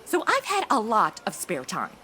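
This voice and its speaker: A woman's voice: mid-range voice, huge pitch swings, moderate pace, sharp tone, breathy edge, full character voice. A woman voicing a sassy, chatty modern young American woman in a comedy audio drama, with sarcastic, exaggerated reactions.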